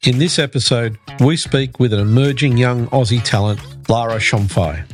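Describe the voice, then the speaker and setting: A man hosting a sports podcast, reading an introduction and then asking questions in a relaxed way, with "sort of" and "you know". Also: mid-range voice, moderate pace, over music. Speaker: podcast host.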